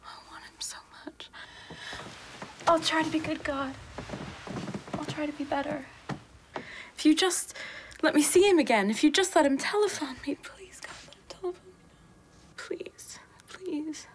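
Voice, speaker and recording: A woman's voice: high voice, normal speed, long pauses, a little hiss or hum, natural voice. Woman playing an anxious young woman pleading. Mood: desperate pleading. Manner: pleading, swings between whispers and outbursts. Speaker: actress performing a monologue. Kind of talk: dramatic monologue.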